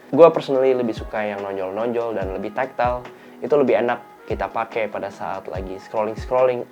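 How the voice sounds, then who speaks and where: A young man talks through a product review in a relaxed, slangy way. His nose is clearly blocked, so the voice sounds stuffy and a little worn out. Light music plays behind him. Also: lowish voice, lo-fi sound. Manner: relaxed, chatty, slang-heavy delivery. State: tired and run-down. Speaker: tech reviewer hosting his channel.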